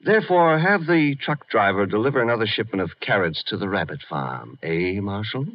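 A suave crime boss played by a male actor in a vintage radio thriller. He gives orders in a lowish voice with dry, sardonic precision. The recording is dull and old. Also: lively intonation, fairly quick, heavy background noise, lightly acted. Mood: commanding, sardonic. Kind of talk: 1950s radio crime drama acting.

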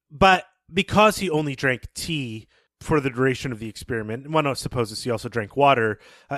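A man co-hosting a podcast, talking casually and explaining things in a measured, thoughtful way. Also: mid-range voice, a bit bright, no room sound, clean studio sound. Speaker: podcast co-host. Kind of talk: casual podcast talk and story recap.

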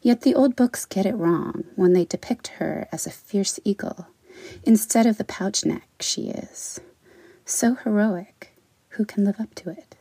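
A woman poet introducing and reading her work in an articulate, deliberate way. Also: medium pitch, warm tone, breathy edge, no room sound. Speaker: poet, writer. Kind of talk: spoken introduction and poetry reading.

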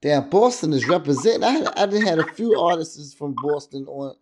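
A man hosting a podcast in a loud, slangy, casual hype-man style, often filling with "know what I'm saying". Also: a little high, expressive, normal speed. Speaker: podcast host. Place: Virginia.

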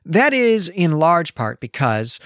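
A man's voice: on the high side, big pitch swings, slow pace, fairly bright tone, no room sound, studio quality. An American man hosting a podcast, talking through a literary discussion in a conversational, explanatory and discursive way. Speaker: podcast host.

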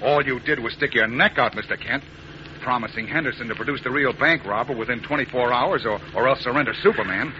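A man's voice: medium pitch, brisk, pushed and bright, natural voice. A man playing the hero's skeptical, blunt sidekick in a 1940s radio drama. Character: skeptical, blunt male sidekick and friend of the hero. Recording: muffled noisy vintage radio broadcast.

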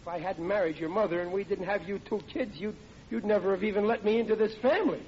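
A man's voice: sits high, fairly even intonation, fast, lightly acted. An actor playing a touchy, blustering working-class family man in old radio comedy. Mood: aggrieved. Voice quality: ungrammatical working-class speech. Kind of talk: 1940s radio comedy acting. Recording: noisy, muffled old radio recording.

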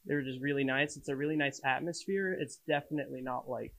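A man's voice: mid-range voice, fairly even intonation, fast, creaky, a bit bright. A young man, a student, casually answering interview questions. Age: young adult.

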